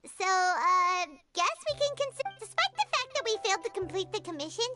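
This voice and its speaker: A woman voicing a tiny cheerful childlike fairy companion girl with a squeaky voice in a video game, with music behind her. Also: very animated, a little fast.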